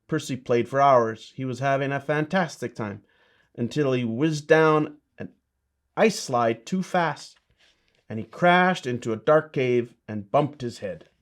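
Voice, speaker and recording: A man's voice: mid-range voice, measured pace. A man, a bishop, reading a children's storybook and chatting to kids with simple, encouraging asides.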